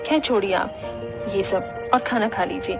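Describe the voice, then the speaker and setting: A woman voicing a gentle, dutiful young daughter-in-law, soft and pleading, in a Hindi cartoon family drama. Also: medium pitch, a little slow, over music, pitched below the performer's own voice, close to an everyday voice.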